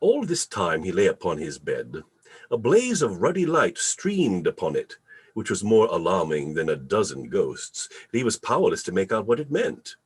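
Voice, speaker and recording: A man narrating a radio play in a theatrical, deliberate storybook style. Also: deep voice, wildly swooping pitch, breathy edge. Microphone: video call.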